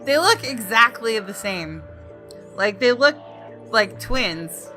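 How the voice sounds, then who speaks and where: A woman's voice: on the high side, expressive, slow pace, music in the background. A woman gaming YouTuber reading visual novel narration aloud expressively, with casual asides.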